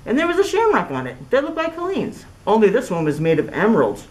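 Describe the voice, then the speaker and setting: A middle-aged woman reading a children's story aloud through a cloth mask in an expressive, deliberate way. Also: mid-range voice. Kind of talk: read-aloud storybook narration. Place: small indoor classroom. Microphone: camera a few feet away, speaking through cloth mask.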